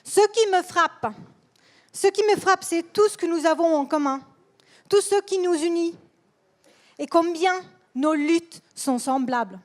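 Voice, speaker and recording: A Swedish-accented woman delivers a political speech in a language that is not her own. Her voice sits very high, and she moves slowly and deliberately, pausing and repeating phrases as she finds her words. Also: darkish tone. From Sweden. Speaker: political speaker. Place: rally hall.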